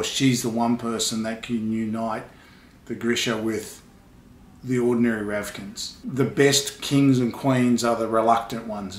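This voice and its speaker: Middle-aged man in an echoey room. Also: medium pitch. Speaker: father reviewing books with daughter. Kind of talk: casual book discussion.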